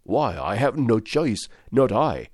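A man voicing a sly, wounded old pirate coxswain who grumbles in rough sailor's talk. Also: mid-range voice, very animated, a little slow, a little mellow, no room sound, studio quality, pitched above the performer's own voice. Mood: resigned. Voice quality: rough sailor's dialect, gruff.

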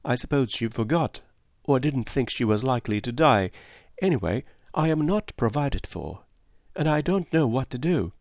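A man's voice: medium pitch, animated, moderate pace, gravelly, a little mellow, no echo, clean studio sound, pitched above the performer's own voice. A male narrator voicing a kind, candid young English woman who talks in an earnest, confiding, slightly plaintive way. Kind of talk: audiobook character voice.

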